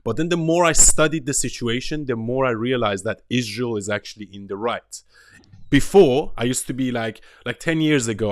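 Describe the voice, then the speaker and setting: A man's voice: mid-range voice, dry recording. A man from Iran hosting a casual interview over a video call, talking with energy and emphasis. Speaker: host.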